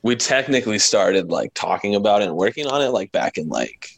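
A young man with an American accent and a deep voice talks casually as a guest. He wanders through his sentences in a self-deprecating way. The sound is dry, with little room around it. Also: very animated, a little fast, vocal fry, no room sound. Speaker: podcast guest, podcast founder. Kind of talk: casual chat.

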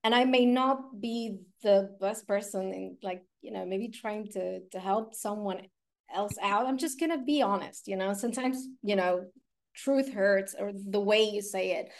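A woman's voice: mid-range voice, a little slow. A woman hosting a casual interview, speaking directly and bluntly, with many fillers. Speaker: interview host.

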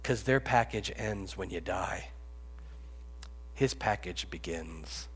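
A man in his fifties preaching a sermon, rising to loud pleading and dropping to hushed whispers. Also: low-pitched, lively intonation, slow pace, slight background noise. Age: 50s. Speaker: pastor. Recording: long reverb, echoey hall.

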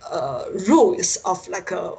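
A woman from China with a Chinese accent, a native Mandarin speaker, answering interview questions haltingly over an internet call and often searching for words. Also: fairly low pitch, sing-song, creaky, dark tone. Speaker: science fiction author, interview guest. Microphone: Skype call.

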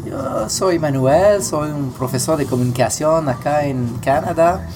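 A man, a teacher talking as a guest, halting and repeating words. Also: a little high, noisy background. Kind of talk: casual chat in a learned language. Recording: music playing in the background.

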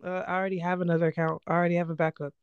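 A young woman with a slightly hoarse but smooth voice, recorded close and dry with a slightly muffled, dull tone. She chats casually and quickly, playful and a little defensive, like she's arguing with her brother. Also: lowish voice, little pitch movement, no echo. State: under the weather, slightly hoarse. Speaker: podcast co-host. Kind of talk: casual sibling podcast chat. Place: apart from her co-host, remote recording.

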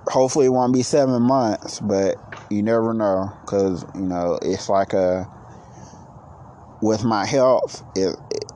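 A man in his late thirties hosting a casual solo podcast, who says he is low on energy and talks in a rambling, halting way with many restarts. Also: dark tone, slight background noise. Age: 30s. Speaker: podcast host.

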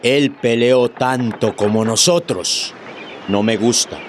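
An actor playing a reluctant pirate with a conscience, protesting gravely in a theatrical radio drama. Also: male voice, medium pitch, slow, slight background noise, lightly acted. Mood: indignant reluctance.

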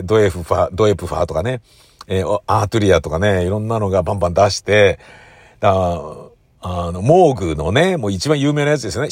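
Low-voiced man chatting casually, dry close sound. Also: no echo. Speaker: audio enthusiast host. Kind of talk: casual solo chat.

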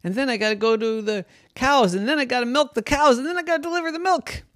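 An adult storyteller voicing an excitable boy who has been turned into a pig, speaking high and fretful. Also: measured pace, no echo, clean studio sound. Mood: fretting.

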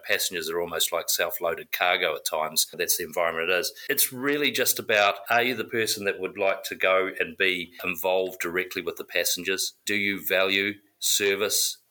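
A man's voice: sits low, sharp tone. A man from New Zealand who grew up in South Africa, a corporate pilot answering interview questions conversationally. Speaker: corporate pilot, podcast guest.

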